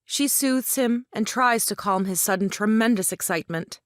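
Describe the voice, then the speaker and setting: A woman's voice: medium pitch, moderate pace, dry recording, clean studio sound. A woman narrator reading scripted stage directions for a play.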